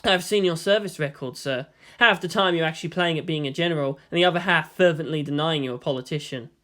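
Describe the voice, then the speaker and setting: A man's voice: on the high side, fast, pushed and bright. Casting: brooding teenage boy leader, read by a man, guarded, terse and dry, for storybook fiction. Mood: wry.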